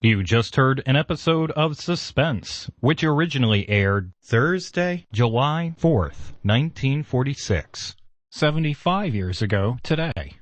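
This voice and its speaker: Lively male station announcer. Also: medium pitch, a little slow, no echo. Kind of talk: scripted informational announcement.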